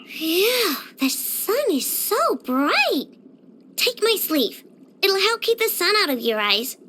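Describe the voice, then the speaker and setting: A woman's voice: very high-pitched, very animated, takes it slowly, pushed and bright, heavily acted. A woman voicing a childish, playful and bossy little girl inventor with a sweet tooth, as a video game character. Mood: whiny, pouting.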